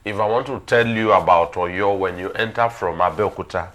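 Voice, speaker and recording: A man with a Nigerian accent giving detailed conversational analysis on a talk show, in a halting, thinking-aloud way. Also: low-pitched, a bit bright. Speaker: current affairs talk show speaker.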